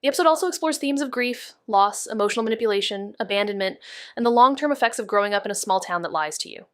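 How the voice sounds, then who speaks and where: A woman's voice: mid-range voice, lively intonation, fast talker, fairly bright tone. A woman announcer reading a scripted content advisory for a podcast drama.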